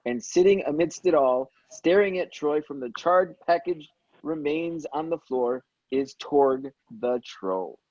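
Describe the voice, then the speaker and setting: A man reads screenplay action lines as a scripted narrator. His tone is relaxed and a little husky. The recording is dry and slightly dull. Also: fairly high pitch, a little slow, dry recording. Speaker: stage-direction reader at a table read.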